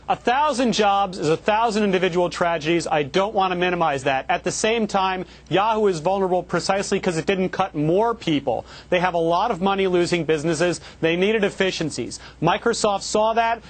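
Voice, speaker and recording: A man arguing as a panelist on a TV news panel, brash and needling. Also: sits high. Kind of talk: TV panel debate.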